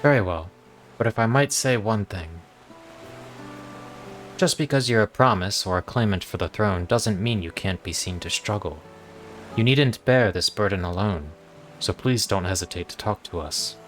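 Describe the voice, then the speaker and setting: A man with a low voice reads game dialogue aloud for a stream. His delivery is monotone and plain, and music plays behind him. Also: normal speed. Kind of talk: flat read-aloud of game script.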